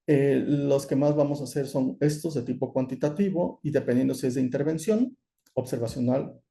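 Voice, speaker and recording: A man giving an online lecture over a video call, explaining in a measured way with frequent "eh" and "¿no?". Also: mid-range voice, mellow. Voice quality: gravelly. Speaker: course lecturer.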